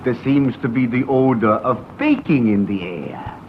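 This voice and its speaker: An actor playing a theatrical gentleman visitor with deliberate delivery in an old film drama. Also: medium pitch, lightly acted. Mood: grand, inquisitive. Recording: muffled, faintly noisy old film soundtrack.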